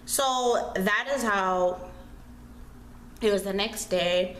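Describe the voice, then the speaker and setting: A woman's voice: mid-range voice, slow pace, a bit bright. Chatty young woman vlogger in an echoey room. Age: young adult. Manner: chatty, slangy, dramatic, repeats phrases for emphasis. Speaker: storytime vlogger. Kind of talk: casual animated storytelling to camera. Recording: roomy echo, clear background noise.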